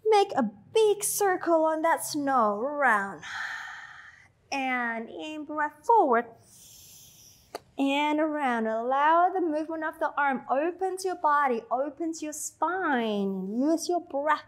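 A woman in her thirties with an Australian-tinged accent, guiding a Pilates class with slow, soothing cues and chatty asides. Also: high voice, animated. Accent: Australian-tinged English. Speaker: Pilates instructor. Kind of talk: guided exercise instruction.

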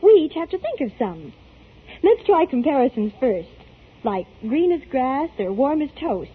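Chatty young female, high and animated, 1940s radio commercial, tinny band. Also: a little slow. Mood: perky. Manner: perky, scripted. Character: bright, chatty young woman in soap commercial.

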